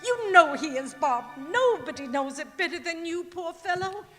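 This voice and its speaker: A woman playing a working-class wife and mother in a theatrical radio drama, speaking emphatically and deliberately. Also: very high-pitched, animated, a bit bright. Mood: indignant. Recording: reverberant theatre hall, faint music.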